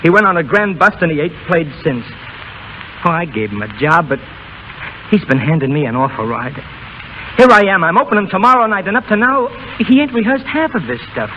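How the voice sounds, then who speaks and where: Harried burlesque boss, rather high-pitched. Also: male voice, very animated, brisk, dull audio. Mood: concerned. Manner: slangy, exasperated, urgent. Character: harried, brash but soft-hearted burlesque show boss. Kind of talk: 1930s radio acting. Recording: noisy vintage 1930s radio broadcast.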